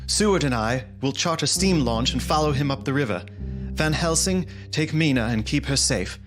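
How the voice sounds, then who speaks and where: A man's voice: medium pitch, lively intonation, normal speed, faint music behind, lightly acted. A man playing a resolute young adventurer in a gothic audio drama, narrating earnestly and dramatically. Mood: determined. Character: resolute young male adventurer hunting a vampire. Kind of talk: audio drama acting, first-person journal narration.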